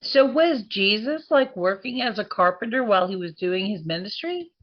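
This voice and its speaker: A woman hosting a podcast, slightly hoarse, playful and slangy, asking short leading questions. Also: mid-range voice, lively intonation, moderate pace, lo-fi sound. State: a little hoarse. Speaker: podcast host. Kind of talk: casual chat.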